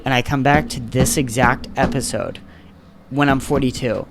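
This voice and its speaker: A man's voice: medium pitch, fairly even intonation, moderate pace, gravelly, background noise. A seventeen-year-old man hosting a casual podcast, rambling with lots of fillers and swearing. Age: teens. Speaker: podcast host. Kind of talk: casual chat.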